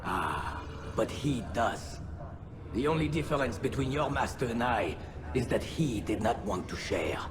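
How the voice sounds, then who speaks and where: An actor playing a dying villainous crusader lord in a video game, deliberate, theatrical and sardonic. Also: male voice, mid-range voice, expressive, background noise, lightly acted. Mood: bitter, taunting. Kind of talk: dramatic video game acting.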